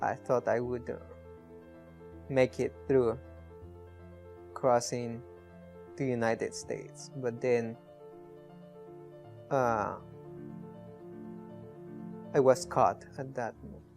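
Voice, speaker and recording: A young man with a Guatemalan accent, speaking a second language haltingly and simply as he tells his own story. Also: mid-range voice, fairly even intonation, gravelly, a little mellow. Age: young adult. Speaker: scholarship honoree. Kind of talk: personal story in a documentary video. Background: guitar music.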